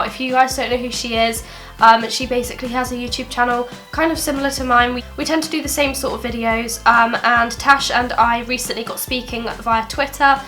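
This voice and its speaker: Young woman, bright voice, a bit high, stuffy from a cold, chatty tutorial vlog. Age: young adult. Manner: chatty, friendly, many ums. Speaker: beauty vlogger. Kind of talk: makeup tutorial. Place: bedroom desk indoors.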